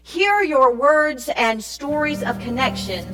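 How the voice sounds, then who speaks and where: A woman with a high voice narrates from a script for a church summary. She reads in a measured way, with music clearly playing behind her. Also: animated, poor audio. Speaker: narrator, church project team member.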